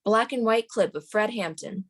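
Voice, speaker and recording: A woman's voice: medium pitch, normal speed. A woman reading scene directions as the narrator at a screenplay table read.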